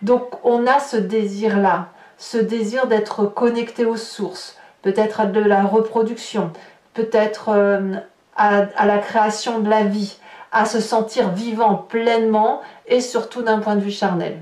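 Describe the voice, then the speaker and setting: A woman giving an unscripted tarot reading as a guidance monologue, halting and often restarting as she searches for words. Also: mid-range voice, fairly even intonation, darkish tone. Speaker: tarot card reader. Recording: roomy echo, distant from the mic.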